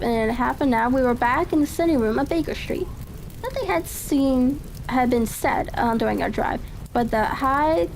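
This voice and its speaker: A woman's voice: high voice, vocal fry, a little mellow. A woman reads a classic story in a tranquil ASMR style, now and then stumbling over words. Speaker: audiobook reader. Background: ambient fireplace crackle.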